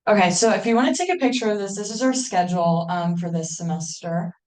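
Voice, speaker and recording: A woman's voice: mid-range voice, fast talker, darkish tone. A college woman in her twenties from Ohio, giving an informal welcome talk as club president in a classroom, with frequent "um"s. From Ohio, USA. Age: 20s. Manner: friendly, informal, uses many "um"s.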